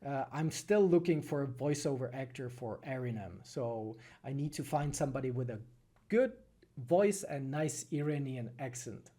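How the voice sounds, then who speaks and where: A man from the Czech Republic with a Czech accent, answering viewer questions casually on a livestream, phrasing things thoughtfully with frequent pauses. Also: mid-range voice, animated, mellow. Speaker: animated film creator and streamer.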